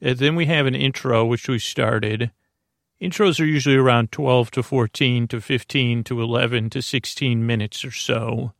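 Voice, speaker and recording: A man hosting a bedtime podcast, talking softly in a lulling, meandering way, full of fillers and restarts. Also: medium pitch, steady tone, normal speed, gravelly, no room sound, studio quality. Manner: soft, lulling delivery. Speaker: podcast host. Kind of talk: rambling bedtime podcast talk.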